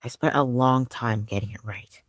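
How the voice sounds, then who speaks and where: A woman's voice: rumbling low, normal speed, no echo. A woman voicing a caring, reflective woman in an audiobook drama, thoughtful and tender. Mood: warm.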